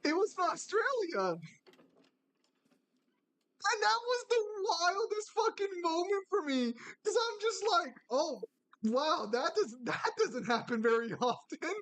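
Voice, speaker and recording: A man from the United States streaming a game and chatting casually with friends, animated and swearing freely. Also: very high voice, takes it slowly, no echo, rough recording. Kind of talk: casual gaming stream chat.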